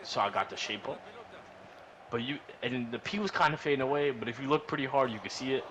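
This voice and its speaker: A man's voice: mid-range voice, fast talker. New man storytelling over noticeable noise. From New York. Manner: rambling, repetitive, slangy, animated retelling. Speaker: podcast storyteller. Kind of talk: casual, profane storytelling among friends. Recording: noisy room recording.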